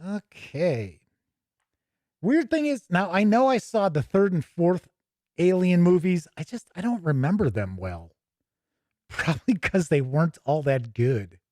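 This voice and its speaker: An American man with a fairly high voice hosts a casual gaming review. He rambles and thinks aloud as he goes. The sound is dry, with very little room to it. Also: lively intonation, unhurried, darkish tone, no room sound. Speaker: tabletop gaming show host. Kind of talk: casual off-the-cuff game book review.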